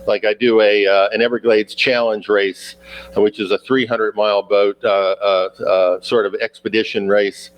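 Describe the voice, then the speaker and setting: A man in his sixties answering interview questions in a halting way, with many fillers and repeated words. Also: fairly low pitch, level tone. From United States. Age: 60s. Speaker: guest sailor.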